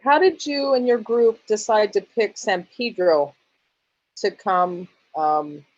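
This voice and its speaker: A woman asking questions at an online town hall, polite and hesitant, with many pauses and ums. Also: mid-range voice, highs cut off.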